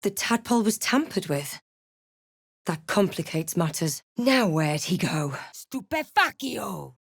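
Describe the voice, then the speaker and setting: An actress playing a wary, snarky female adventurer in a fantasy video game, with a dry, measured, theatrical delivery. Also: lowish voice, big pitch swings, gravelly, slightly rough, dry recording, full character voice. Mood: forceful spell casting. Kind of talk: video game voice lines, scripted barks and narration.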